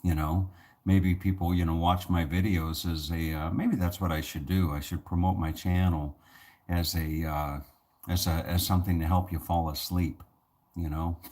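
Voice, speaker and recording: Very deep-voiced man rambling softly. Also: steady tone, moderate pace. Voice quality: soothing voice. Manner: rambling, many restarts and fillers. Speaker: channel host. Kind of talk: casual talk to camera.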